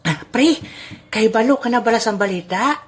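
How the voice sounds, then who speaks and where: A woman's voice: on the high side, expressive, normal speed, slightly rough. A woman voicing a cheerful, laughing old grandfather in an amateur audio drama. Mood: jolly. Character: jolly old man, Lolo Albeno, money-minded.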